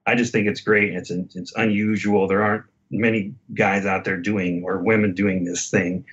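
A man speaking as a podcast guest in an earnest, measured and casual conversational way. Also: on the low side, little pitch movement, a bit bright, lo-fi sound. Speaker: podcast guest, former radio host.

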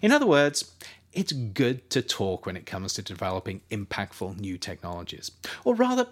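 Male narrator, scripted audiobook-style reading, animated intonation, measured explanatory delivery, emphatic phrasing. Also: medium pitch. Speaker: author reading own book as narrator.

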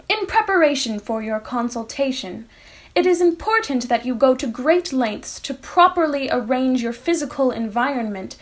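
A woman with a high voice performs a written comic monologue. Her delivery is formal and theatrically grand. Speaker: writer reading own comic piece.

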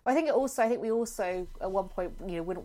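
A woman's voice: medium pitch, quick pace, mellow. A woman in casual, reflective conversation as a writer, phrasing things tentatively and repeating words as she thinks. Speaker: writer discussing her own storytelling project.

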